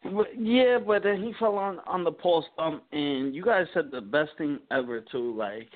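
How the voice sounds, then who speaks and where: A man's voice: fairly high pitch, animated, moderate pace. A man calling in to a talk show, eager and tumbling over his words, repeating himself as he goes. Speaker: caller. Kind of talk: call-in chat. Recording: tinny phone line.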